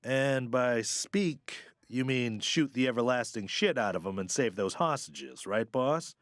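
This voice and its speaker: An actor voicing a brash, irreverent deputy marshal in a video game, sardonic and teasing. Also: male voice, medium pitch, measured pace, no room sound, studio quality, close to an everyday voice.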